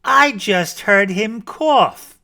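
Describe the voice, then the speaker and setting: A man puts on a little storybook rabbit, cocky and quick to snap. The voice sits high and swoops widely as it brags. Each boast lands with sharp, theatrical emphasis. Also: lively intonation, gravelly, full character voice. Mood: boastful. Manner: bragging, snappy, emphatic. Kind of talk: theatrical children's storybook reading.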